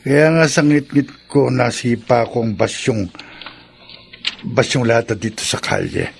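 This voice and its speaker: A vengeful young boyfriend in a radio drama, brooding and threatening. His mid-pitched voice has a gravelly creak, with faint noise behind it. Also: measured pace. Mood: resentful.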